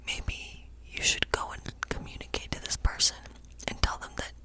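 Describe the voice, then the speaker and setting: A woman whispering an ASMR tarot reading as a gentle witch, musing aloud in an unhurried way. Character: gentle fortune-telling witch.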